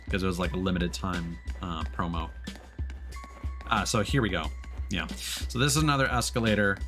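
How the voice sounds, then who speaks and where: A man giving casual, playful commentary as he livestreams a video game. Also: sits low. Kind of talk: casual let's play commentary with on-screen text read aloud.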